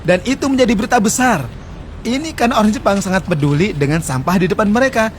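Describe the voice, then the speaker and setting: Fast-talking man in his forties, high-pitched. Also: slight background noise. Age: 40s. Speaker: interview guest. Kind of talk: explaining customs in casual conversation.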